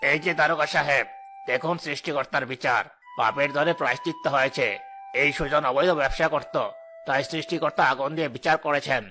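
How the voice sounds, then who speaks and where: A man's voice: on the high side, fairly even intonation, a little fast, pushed and bright, faint music behind. A man playing a jealous, scheming village moneylender in a cartoon, with a menacing, boastful, commanding manner. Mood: accusing, smug. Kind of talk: animated cartoon dialogue.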